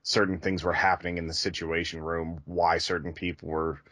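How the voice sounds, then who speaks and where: A man's voice: low voice, little pitch movement, moderate pace, fairly bright tone, dry recording. A man co-hosting a podcast, talking casually about films. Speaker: podcast co-host reviewing films. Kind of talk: casual film discussion.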